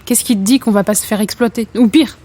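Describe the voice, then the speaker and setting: A woman's voice: a little high, fast, mellow, close to an everyday voice. A woman playing an embattled, doubtful young athlete in a French audio drama, earnest and colloquial. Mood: anxious, defensive. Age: young adult. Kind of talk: naturalistic French audio fiction acting.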